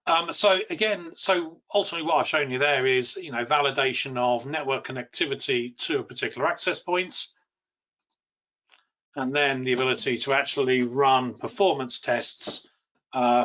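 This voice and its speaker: A man's voice: mid-range voice, moderate pace, bright, forceful tone, lo-fi sound. A man giving an informal live technical product demo, explaining in a loosely phrased, hesitant way. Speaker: product demonstrator and technical trainer.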